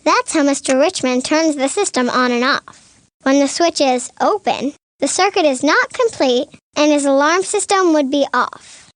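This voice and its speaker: A cartoon science expert with a notably high voice and a deadpan delivery. The explanations come out dry and instructive, in a close, dry recording. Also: normal speed, few pauses, no echo. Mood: matter-of-fact. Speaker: expert witness. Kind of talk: animated comedy dialogue, explaining science.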